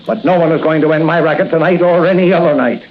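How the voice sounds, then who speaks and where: An actor playing a sneering gangster extortionist in a 1940s radio drama, on a muffled old recording with faint hiss. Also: male voice, fairly high pitch, fast, close to an everyday voice. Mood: menacing. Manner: tough, cocky street slang.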